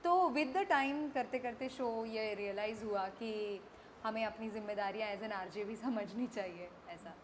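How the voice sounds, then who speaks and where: A woman's voice: medium pitch, unhurried, slight background noise. A woman with an Indian accent, a radio jockey and activist, speaking reflectively as an interview guest and mixing Hindi with English phrases. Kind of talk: candid conversational interview.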